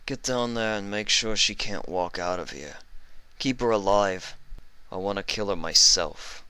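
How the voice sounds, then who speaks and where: Guy playing a crude mob boss pony in a story, mocking, forceful voice, dry close sound. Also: lowish voice, measured pace, clean tone, no echo. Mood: commanding. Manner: taunting, crude.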